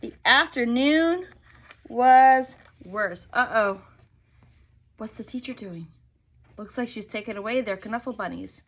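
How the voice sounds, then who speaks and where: Slow, expressive woman reading to children. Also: on the high side. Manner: expressive, prompting listeners with questions. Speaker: storyteller reading a picture book to children. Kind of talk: read-aloud with questions to young listeners.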